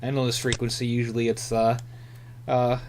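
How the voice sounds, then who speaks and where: A man's voice: mid-range voice, monotone, normal speed, a little mellow. A man giving a casual, off-the-cuff hobbyist demonstration, hesitant and often muttering to himself as he thinks aloud. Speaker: amateur radio hobbyist demonstrating antennas. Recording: clear background hum and noise.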